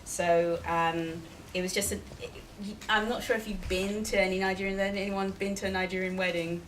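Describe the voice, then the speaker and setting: A woman's voice: mid-range voice, little pitch movement, normal speed, a bit bright, slight background noise, rough recording. A woman children's book author answering questions at a live event, chatty and friendly, with lots of fillers as she explains. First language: Igbo. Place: event venue with an audience.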